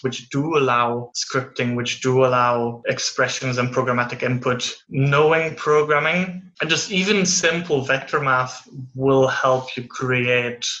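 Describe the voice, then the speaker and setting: A young man from Belgium, a guest in an interview, explaining his work with thoughtful pauses and restarts. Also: mid-range voice, pushed and bright, reverberant, lo-fi sound. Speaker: interview guest, VFX artist. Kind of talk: casual interview conversation.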